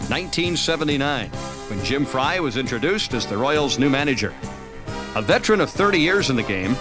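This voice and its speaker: An American man reading scripted sports documentary narration as the narrator of a highlight film. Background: background music.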